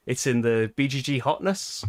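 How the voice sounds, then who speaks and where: A man's voice: medium pitch, expressive, normal speed, no room sound, studio quality. Chatty British man streaming a board game. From Britain. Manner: chatty, self-deprecating, laughs often. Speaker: board game streamer hosting a live playthrough. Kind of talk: casual live-stream chat.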